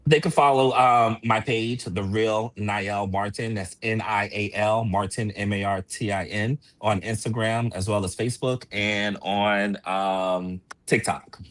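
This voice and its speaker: A man's voice: lowish voice, steady tone, a little slow, hardly any pauses, fairly bright tone, no room sound. A man who produces stage plays, speaking casually as an interview guest to promote his work.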